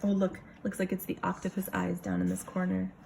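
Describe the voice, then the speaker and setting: A woman reading a picture book aloud like a kindergarten teacher, expressive, pausing for casual asides to the children. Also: on the low side, vocal fry, a little hiss or hum.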